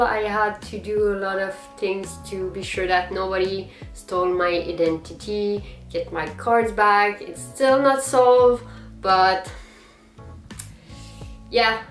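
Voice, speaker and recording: Slow-talking French Canadian woman vlogging. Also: mid-range voice, echoey room. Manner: unhurried and improvised, frequent pauses and fillers with short laughs. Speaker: cosplayer vlog host. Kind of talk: unscripted casual vlog. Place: small indoor guest room with manga shelves.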